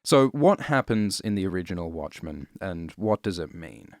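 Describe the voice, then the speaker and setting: A man narrating a scripted explainer in a dry, deadpan way, with casual asides. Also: deep voice, moderate pace, no room sound. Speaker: host and narrator of explainer videos. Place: close, dry voice-over recording.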